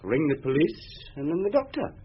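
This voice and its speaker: Higher-voiced, obliging junior detective. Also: male voice, very animated, a little fast, lo-fi sound, a little hiss or hum. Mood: dutiful. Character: obliging, deferential male junior police detective. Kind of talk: radio drama acting.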